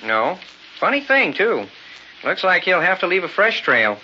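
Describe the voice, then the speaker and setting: An actor playing a concerned hotel night clerk, folksy and a little hesitant, in an old radio horror drama. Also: male voice, high voice, sing-song, a little slow, pushed and bright, heavily acted. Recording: muffled, hissy old radio broadcast.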